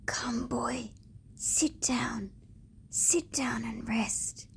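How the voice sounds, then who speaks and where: A woman voicing a gentle, giving old female apple tree in a storybook, weary and sighing, slow and nearly whispering. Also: on the high side, fairly even intonation, full character voice. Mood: tender. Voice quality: breathy, near-whispered.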